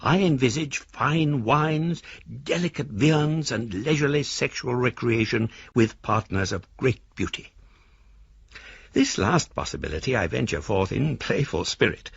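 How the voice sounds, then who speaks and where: Elderly British gent, florid and pompous, radio play; husky voice, dry slightly dull recording. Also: male voice, mid-range voice, animated, unhurried, no echo, heavily acted. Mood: gleefully pompous. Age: older. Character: verbose, self-satisfied elderly gentleman, an amateur philosopher. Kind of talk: radio drama letter monologue.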